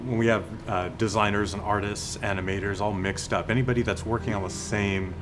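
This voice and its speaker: A man's voice: on the low side, steady tone, brisk. A man speaking as a game developer in an interview. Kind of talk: interview talk. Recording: clear ambient noise behind.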